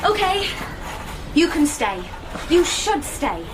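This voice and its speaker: An actress playing a wry, scheming young woman who narrates and talks in a deadpan, wordplay-heavy radio comedy drama. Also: very high voice, takes it slowly, very noisy, heavily acted. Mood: exasperated.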